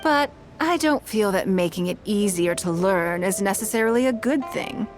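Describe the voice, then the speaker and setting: Woman acting as refined wealthy businesswoman, video game character, mid-range pitch, poised, measured, articulate, courteous and shrewd, music behind. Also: expressive, lightly acted. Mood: thoughtful, proud. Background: background music.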